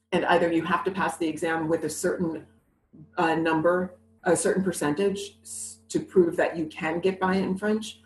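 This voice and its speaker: A woman from New City, talking candidly and with animation as an interview guest. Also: on the low side. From New York City. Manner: candid, animated, laughs easily. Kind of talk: conversational interview. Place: living in Montreal. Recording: roomy echo.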